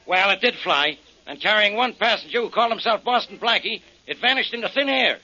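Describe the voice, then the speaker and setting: An actor playing a gruff, sarcastic, impatient police inspector in 1940s radio style, brusque in manner. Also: male voice, high-pitched, animated, a little fast, bright, forceful tone, full character voice. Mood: exasperated. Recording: noisy dull old radio recording.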